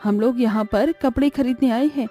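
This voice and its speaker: Woman as a gently firm cartoon mother. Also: on the high side, moderate pace, soft background music, natural voice. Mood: gently chiding. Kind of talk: Hindi cartoon story voice acting.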